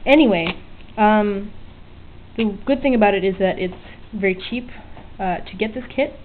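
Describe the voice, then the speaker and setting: A woman's voice: mid-range voice, moderate pace. Woman chatting casually on tinny camera audio. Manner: informal, self-deprecating, mildly sweary. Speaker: hobbyist vlogger showing an electronics project. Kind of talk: casual unscripted show-and-tell. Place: small home work area by an open window. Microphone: handheld camera's built-in mic. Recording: tinny, band-limited camera audio with hiss. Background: faint outdoor weather ambience.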